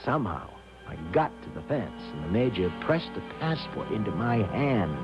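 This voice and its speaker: A man's voice: on the low side, sing-song, moderate pace. An actor playing a timid, naive, anxious young American man in a 1970s radio drama, stammering and hesitant as he talks and narrates. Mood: nervous, awed recounting. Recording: dull lo-fi 1970s radio broadcast, music behind.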